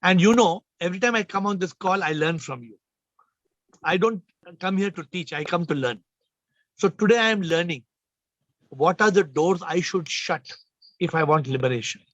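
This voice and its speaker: High-voiced man teaching over a video call. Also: normal speed, long pauses, a bit bright, dry recording. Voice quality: slightly low, subdued voice today. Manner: emphatic, repeats phrases, questions listeners. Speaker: spiritual teacher hosting a call. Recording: muffled video call audio.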